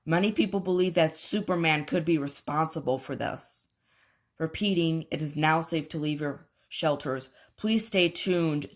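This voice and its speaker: A woman reading a scripted emergency broadcast as an announcer, in formal, solemn, measured phrases. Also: deep voice. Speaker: emergency alert announcer and scenario narrator.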